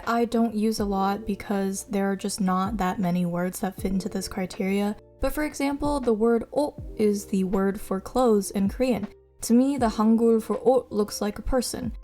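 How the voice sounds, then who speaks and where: A young woman whose first language is Mandarin, chatting casually in a vlog voice-over about how she studies, with plenty of likes and ums. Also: medium pitch. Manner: chatty, self-deprecating, lots of likes and ums. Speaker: vlogger teaching her own study method. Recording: soft music behind.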